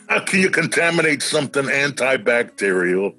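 A man's voice: medium pitch, animated, normal speed, pushed and bright, faint music behind. An actor playing an eccentric, philosophical man who is cheerfully weird, in a whimsical, musing radio play manner. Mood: casual, teasing. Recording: home-recorded virtual radio play.

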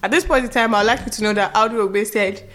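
A woman's voice: mid-range voice, steady tone, fast talker, a bit bright. A woman with a Nigerian accent hosting a quiz in casual, teasing storytelling, with dramatic emphasis. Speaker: trivia quiz host.

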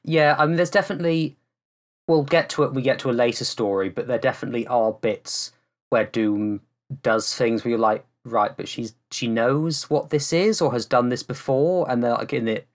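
A man with a medium-pitched voice takes part in a podcast discussion. His delivery is informal and conversational, like someone chatting with fellow enthusiasts. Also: normal speed. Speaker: podcast panelist. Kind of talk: casual chat.

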